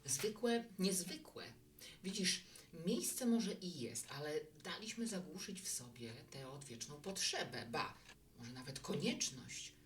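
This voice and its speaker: A woman's voice: fairly low pitch, lively intonation, takes it slowly, far from the mic, a little hiss or hum. A woman playing a hospitable, reflective bar keeper in a Polish audio drama, talking in a conversational, musing way.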